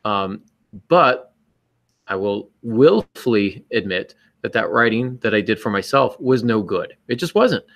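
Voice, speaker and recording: A man's voice: fairly low pitch, animated, unhurried. A man co-hosting a podcast, talking reflectively in an earnest, emphatic, self-critical way. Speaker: podcast co-host and writer.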